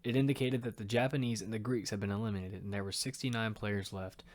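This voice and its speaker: A man's voice: on the low side, fairly even intonation, fast, no room sound, lightly acted. A man playing a determined young fighter who narrates his own survival story in an amateur audio drama. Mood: dramatic narration. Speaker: narrator and lead actor. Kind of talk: first-person narration of amateur audio fiction. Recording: clearly noisy amateur recording with clicks.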